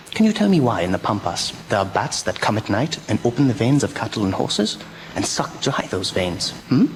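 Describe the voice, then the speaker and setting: Man acting grave, gravelly-voiced vampire-hunting doctor. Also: mid-range voice, slight background noise, low-quality recording. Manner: emphatic, lecturing, rhetorical questions. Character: grave, urgent older male doctor and vampire hunter. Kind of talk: radio drama acting.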